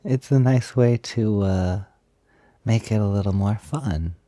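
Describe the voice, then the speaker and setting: A young man with a low, mellow voice plays an eager, cutesy roommate in an intimate roleplay. He talks slowly and hesitantly, coaxing and chatty, as if to one listener close by. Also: dry recording. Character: playful, eager, cute-loving feminine male roommate. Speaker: audio roleplay performer. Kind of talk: intimate improvised-sounding audio roleplay, one-sided conversation.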